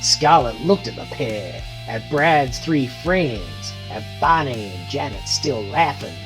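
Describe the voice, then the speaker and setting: A man with a medium-pitched voice narrates a horror story. His delivery is dramatic and swings widely in pitch, over a clear bed of music. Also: animated, takes it slowly, poor audio.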